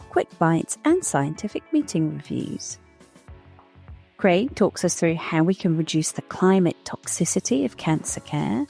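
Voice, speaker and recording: Brief: woman, lower pitch, scripted show intro over soft music. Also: creaky. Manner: even, read-out delivery. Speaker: podcast producer.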